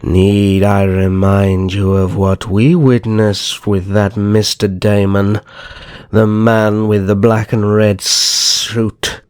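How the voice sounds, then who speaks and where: A man performs a calculating, haughty vampire naga in an ASMR drama. He speaks extremely slowly in a lowish voice, close and dry. His delivery is formal and theatrical, with cool disdain. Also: flat delivery, a little mellow, smooth, no room sound. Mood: calculating menace. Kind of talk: ASMR roleplay acting.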